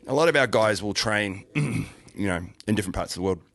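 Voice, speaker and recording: Deep quick-talking New man. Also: lively intonation, creaky, a bit bright, a little hiss or hum. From New Zealand. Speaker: guest, firearms instructor. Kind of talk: casual podcast chat.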